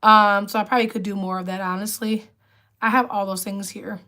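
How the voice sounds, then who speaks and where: A woman with a raspy, lower alto voice, hosting a casual livestream and chatting with viewers as she answers their comments. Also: little pitch movement, fairly quick, sharp tone. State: raspy voice from illness. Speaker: livestream host.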